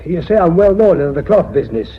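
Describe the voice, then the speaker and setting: Older mill manager: very husky, high-pitched, blunt, aggrieved, radio play. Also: male voice, sing-song, fast talker. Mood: aggrieved, incredulous. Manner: plain-spoken, indignant. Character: aging, blunt, aggrieved Yorkshire mill manager made director. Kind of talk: radio drama acting. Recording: dull, muffled radio recording.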